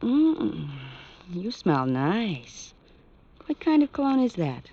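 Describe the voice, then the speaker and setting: A woman's voice: mid-range voice, big pitch swings, slow, mellow, exaggerated voice. An actress playing a wealthy, teasing, exasperated girlfriend in a 1940s radio drama. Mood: teasing, fussing. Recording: dull old radio recording.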